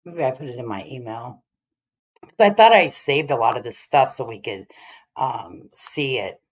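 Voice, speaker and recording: Low-pitched woman on a livestream, chatting to viewers, opinionated and emphatic. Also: animated, moderate pace. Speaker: livestream host commenting on a court case. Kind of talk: casual livestream commentary.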